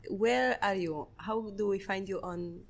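A woman from Romania hosting an interview, chiming in with quick agreement and wrapping up with thanks. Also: medium pitch, gravelly. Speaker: host. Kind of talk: casual interview conversation.

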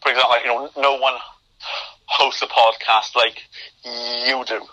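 A young man with a very bright, sharp voice talks as a podcast guest. He stammers, restarting and blocking on words, and the audio comes through a remote call. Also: medium pitch, level tone, a little slow, rough recording. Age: young adult. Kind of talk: interview conversation.

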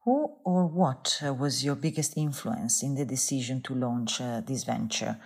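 A woman's voice: a bass voice, lively intonation, moderate pace, a little mellow, slightly rough. A woman hosting a podcast, reading a formal, polished introduction and asking scripted interview questions. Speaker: podcast host. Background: intro music.

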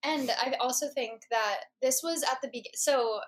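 Higher-voiced playful young woman. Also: normal speed. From Maryland. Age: 20s. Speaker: podcast guest, student. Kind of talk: casual chat.

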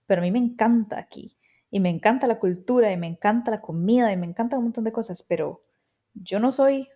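A woman's voice: medium pitch, dark tone. A woman in her thirties with a Costa Rican accent, hosting a podcast in a reflective, chatty personal monologue. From Costa Rica. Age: 30s. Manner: reflective and chatty, with frequent quoted asides. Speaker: podcast host.

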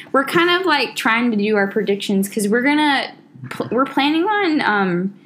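A woman's voice: a little high, big pitch swings, measured pace, fairly bright tone, a little hiss or hum, low-quality recording. Chatty woman, lots of "like". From Southern United States. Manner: rambling, full of "like" fillers. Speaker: podcast co-host. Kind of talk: casual chat.

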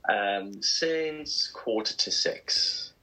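A man's voice: lowish voice, lively intonation, very slow, a crowd in the background, rough recording. A young man co-hosting a casual breakfast show, telling rambling, self-mocking stories. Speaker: co-host.

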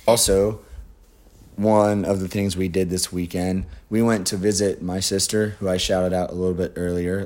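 A man co-hosting a casual podcast, his voice raspy and hoarse, speaking in an earnest, measured way. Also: deep voice, darkish tone. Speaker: podcast co-host. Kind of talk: casual chat.

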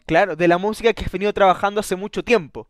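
A chatty male radio host with a high, smooth, clear voice. He talks easily, restarts his sentences and keeps checking with his guest. The sound is dry and close, with no room around it. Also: fairly even intonation, fast talker, dry recording. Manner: chatty, stumbles and restarts, tag questions. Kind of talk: casual interview.

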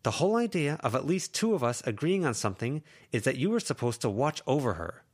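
A man's voice: mid-range voice, animated, fast, slightly rough, voice pushed up. A man voicing an anxious, protective teenage girl who lectures her friends intensely in an audiobook. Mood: earnest lecturing. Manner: intense, lecturing, rising to shouts. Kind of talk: audiobook character reading.

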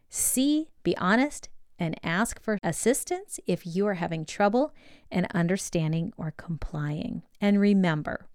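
A woman with a slightly low voice reads a scripted lesson aloud. She delivers it as instructional narration, point by point, in the role of a reader of personal leadership values.

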